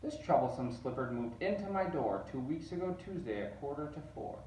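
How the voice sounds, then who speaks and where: A man's voice: fairly high pitch, lively intonation, fairly quick, mellow, a little hiss or hum, close to an everyday voice. A man voicing a friendly but fretful doorman in a rhyming children's storybook. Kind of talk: children's storybook read-aloud. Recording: roomy, distant, dull sound.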